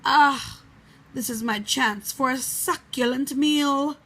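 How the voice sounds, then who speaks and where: A woman plays a scheming, hungry male wolf from a children's storybook. The voice is raised and slightly rough, with a wheedling, theatrical delivery that leans toward menace. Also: high voice, slow pace, sharp tone, voice pushed up, full character voice. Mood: sly, scheming.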